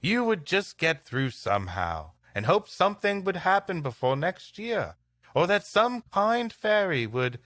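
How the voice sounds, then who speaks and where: A man's voice: sits high, sing-song, measured pace, fairly bright tone, no room sound, studio quality, pitched above the performer's own voice, full character voice. A man voicing earnest young schoolboys from an old English storybook tale.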